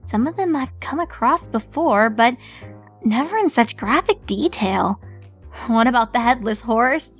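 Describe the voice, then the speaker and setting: A woman voicing a bookish, earnest unicorn mare in a fan story reading, measured and slightly prim. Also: a little high, expressive, soft background music, pitched above the performer's own voice.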